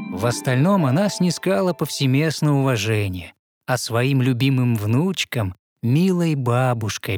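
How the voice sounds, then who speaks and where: A man narrating a fairy tale in verse as scripted audio storytelling, with measured, expressive recitation. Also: medium pitch, no room sound. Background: soft music.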